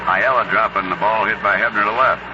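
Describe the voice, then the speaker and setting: Low-voiced baseball announcer on noisy old radio. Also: male voice, fairly quick. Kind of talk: live radio baseball commentary. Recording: noisy dull 1970s AM radio broadcast.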